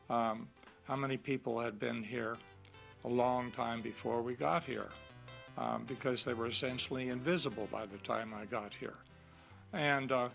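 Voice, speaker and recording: Creaky older man, halting recollection. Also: medium pitch, a bit bright. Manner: halting, deliberate, pausing between phrases. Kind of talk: reflective interview recollection.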